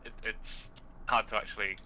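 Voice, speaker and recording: A man's voice: fairly low pitch, little pitch movement, normal speed, sharp tone, a little hiss or hum. A young man with a Yorkshire accent, joking and rambling in casual chat while playing an online game. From Yorkshire, England. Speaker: gaming co-host.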